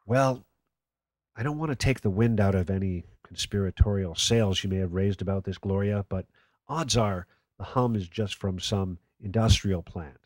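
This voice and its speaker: A man acting as a low-pitched conspiracy radio host in a radio play. He is skeptical and dryly sarcastic, speaking in a measured way, close and dry on the microphone. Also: a little mellow, dry recording, natural voice. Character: sardonic, skeptical late-night conspiracy radio call-in host.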